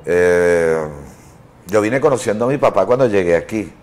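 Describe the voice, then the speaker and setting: A man in his fifties with a somewhat low voice. He tells stories as a studio guest in a loose, rambling way, with frequent fillers and chuckles, picked up on a lapel mic. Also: lively intonation, moderate pace, a little mellow. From Italy. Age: 50s. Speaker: interview guest. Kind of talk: casual chat.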